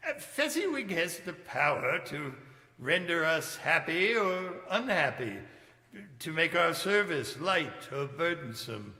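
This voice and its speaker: A man plays an old man deeply stirred by his past in a live radio play. The voice is forceful and slow, swinging widely with halting exclamations. It rings through a reverberant hall. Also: big pitch swings, full character voice. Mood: earnest. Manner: halting, exclamatory, drawn-out delivery. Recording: echoey hall, long reverb, live stage.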